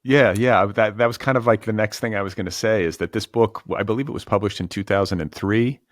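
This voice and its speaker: Man, lowish voice, podcast interviewer, conversational, musing and tentative, very dry studio-like sound. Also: fairly quick, no echo. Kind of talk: conversational long-form interview.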